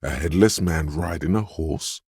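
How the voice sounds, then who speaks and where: An actor voicing a man's brooding, tactile inner voice in a game, narrating with husky gravel in a deliberate, dramatic, literary manner. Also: rumbling low, a little mellow, dry recording, studio quality, heavily acted. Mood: wry, measured.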